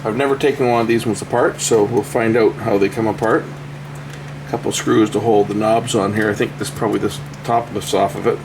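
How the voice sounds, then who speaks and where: A man's voice: fairly low pitch, fairly even intonation, fairly quick, noisy background. A man giving casual, unscripted repair commentary, thinking aloud as he works with his hands. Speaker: electronics repair video host.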